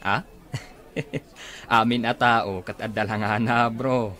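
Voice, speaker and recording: An actor with an Ilocano Filipino accent playing a snobbish buddy who teases in a drawl, in a radio comedy drama. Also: male voice, mid-range voice, fairly even intonation, takes it slowly, a bit bright. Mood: mocking. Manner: teasing, drawling. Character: teasing, snobbish male buddy mocking his friend's crush.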